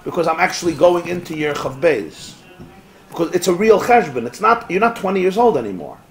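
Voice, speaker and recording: A man, a rabbi, teaching a Talmud class to students in a lively, rhetorical way, tossing in Hebrew and Yiddish terms. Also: fairly high pitch, a little fast, faint music behind, rough recording. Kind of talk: lively Talmud class lecture with back-and-forth.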